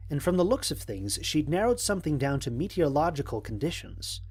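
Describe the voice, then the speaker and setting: A man playing a wry human astronaut captain in an audio drama, speaking in a dry, measured way. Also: mid-range voice, husky. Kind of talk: audio drama narration as log entries.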